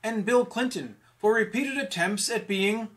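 A man anchors a mock news bulletin in a fairly high voice. He reads from a script in the formal manner of a newsreader. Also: measured pace, a bit bright.

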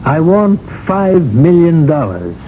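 An actor playing a dignified, patient older cardinal in a radio drama, speaking in a courteous, measured, gently ironic way. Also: male voice, on the high side, very animated. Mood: earnest, patient. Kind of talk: 1980s radio drama acting. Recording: muffled, noisy old radio broadcast.

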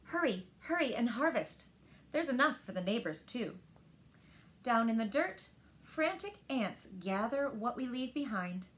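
A woman in her thirties reads picture books aloud to young children with expressive, deliberate phrasing, recorded indoors in a living room. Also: fairly high pitch. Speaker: storyteller at a library story time.

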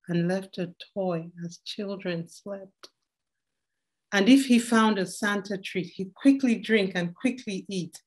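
A young woman reading a rhyming story aloud in an expressive storytelling style. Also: medium pitch, poor audio. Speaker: poem reader. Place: home room indoors.

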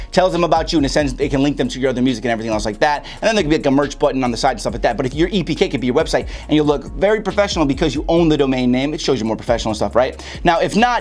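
A young American man giving casual, slangy instruction to camera, speaking emphatically and often checking in with the listener. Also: medium pitch. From Chicago, United States. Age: young adult. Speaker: host of a music marketing video for rappers. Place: small home studio room, indoors.

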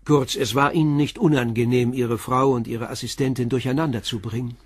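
An actor in a German crime radio play playing a calm, persistent middle-aged police commissioner who questions in a measured, blunt way. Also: male voice, medium pitch, slightly rough, no echo, clean studio sound, natural voice. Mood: insistent, confronting.

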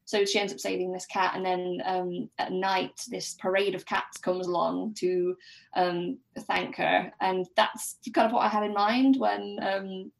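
A woman's voice: medium pitch, little pitch movement, measured pace, gravelly, low-quality recording. A woman guest on a podcast discussing a book casually, thinking aloud in a hesitant way.

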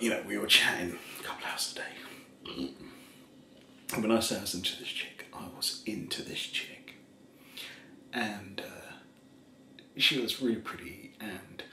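A man of forty telling a story in a casual, soft-spoken way, rambling and laughing at himself, seated in a small kitchen in front of a camera. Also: fairly low pitch, reverberant, a little hiss or hum. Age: 40s. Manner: rambling, laughs often, self-mocking. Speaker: ASMR creator.

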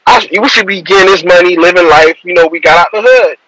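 A man's voice: high-pitched, fast, fairly bright tone, no echo, low-quality recording. A young adult man, a rapper guest on a video call, talking in a loose, rambling, slangy way that trails off mid-sentence. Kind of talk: casual interview talk.

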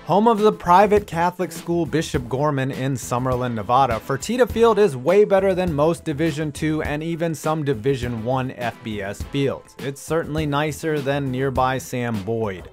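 A man's voice: mid-range voice, expressive, moderate pace, few pauses. An American man narrating a scripted countdown video as host, with wry, sarcastic asides. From United States. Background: music.

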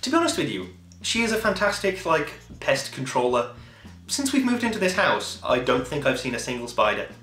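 A man's voice: a little high, sing-song, a little fast, bright, forceful tone. Young man rambling in an echoey room. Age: 20s. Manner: rambling, enthusiastic, swears casually. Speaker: podcast host. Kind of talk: casual chat to camera. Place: small home room, indoors.

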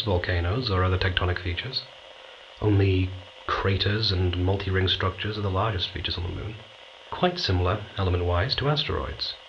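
An actor playing a dry, understated astronaut scientist narrating his log in an audio drama. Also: deep voice. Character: dry, matter-of-fact male astronaut scientist keeping a log. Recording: dull, noisy recorded log.

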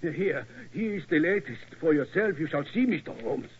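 A man playing an aging, deferential German tower keeper with a German accent, halting and pleading, in 1940s radio acting. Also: on the high side, lively intonation, breathy edge. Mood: troubled. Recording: dull, lo-fi old radio recording.

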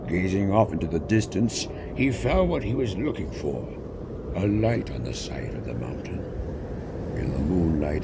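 A man reading a scripted story as narrator, in a dramatic storytelling manner. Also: low voice. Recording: loud ambient noise behind voice.

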